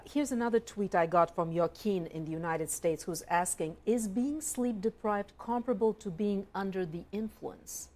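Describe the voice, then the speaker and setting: A woman's voice: medium pitch, normal speed, few pauses, darkish tone. A woman presenting the news and putting interview questions in a broadcast style.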